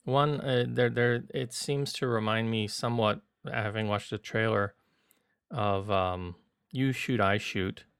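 Smooth-voiced man, lower pitch, stumbling. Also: fairly even intonation, unhurried, a bit bright, dry recording, studio quality. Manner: stumbling, repeats words. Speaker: film podcast co-host. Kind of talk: casual film review chat.

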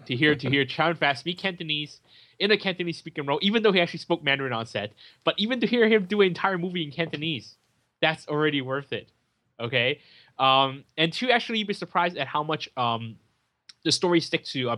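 Bright-voiced man chatting about film. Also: medium pitch. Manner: chatty, many fillers and restarts. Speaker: film podcast co-host. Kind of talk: casual film chat.

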